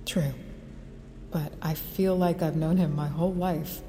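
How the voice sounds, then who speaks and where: A measured, persuasive government official in an audio drama. Her voice is lowish and warm, never raised. A long reverb and faint score surround her. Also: fairly even intonation. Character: persistent government official woman, calm pressure. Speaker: official recruiting the brother.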